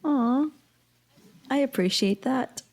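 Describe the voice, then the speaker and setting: A woman's voice: sits high, takes it slowly, vocal fry, a little mellow. A woman chatting casually and appreciatively on a cast panel. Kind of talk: casual chat.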